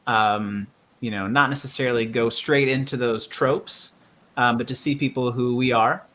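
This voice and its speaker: A man hosting a reflective conversation in a bright, forward voice. He speaks earnestly and thoughtfully, working through his ideas aloud with many ums and you-knows. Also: mid-range voice, steady tone, normal speed. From United States. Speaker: musician and show host.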